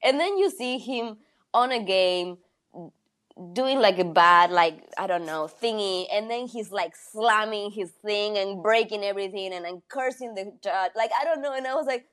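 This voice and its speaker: A woman's voice: mid-range voice, normal speed, a bit bright. A woman in casual conversation who talks bluntly, swears freely, stops to search for words and laughs through her stories. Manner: laughs while telling stories. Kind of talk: casual chat.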